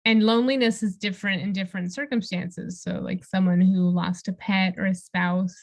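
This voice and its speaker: A woman co-hosting a podcast in a conversational style. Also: medium pitch. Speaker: podcast co-host.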